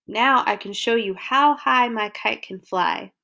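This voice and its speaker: Woman playing a young boy, high voice, friendly, excitable, earnest exclamations, slow storybook delivery. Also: creaky, heavily acted.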